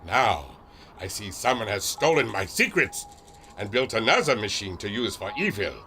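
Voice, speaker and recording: An actor playing a huge, jovial German airship captain and inventor with a theatrical delivery and a German accent, in a pulp radio adventure. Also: male voice, very animated, moderate pace, bright, forceful tone, a little hiss or hum, exaggerated voice. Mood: grave, indignant. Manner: hearty booming laugh, theatrical delivery.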